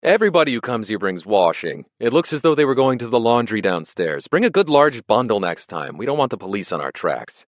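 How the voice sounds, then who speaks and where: A man voicing a fussy, unshaven Russian organizer who talks in a brisk, scolding way. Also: low voice, no room sound, close to an everyday voice.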